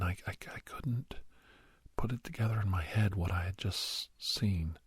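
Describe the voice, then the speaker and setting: A man playing a middle-aged doctor who reminisces earnestly in a soft, half-whispered ASMR roleplay, breathy and halting, close to the microphone. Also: dry recording. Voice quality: breathy, husky, low. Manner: halting, hushed, dramatic pauses. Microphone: close, dry microphone.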